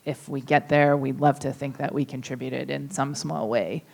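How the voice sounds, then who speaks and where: A woman's voice: extremely low pitch, steady tone, moderate pace, vocal fry, a little mellow. A woman moderating a panel discussion, hedging and hesitant with fillers.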